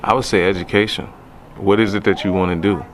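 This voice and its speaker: A man's voice: low voice, steady tone, fast. A man giving casual, plain-spoken interview answers as a radio broadcaster. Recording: noticeable background noise.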